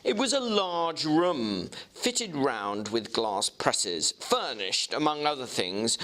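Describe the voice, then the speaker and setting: Bright, highly expressive male narrator. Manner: theatrical, expressive. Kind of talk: dramatic literary narration.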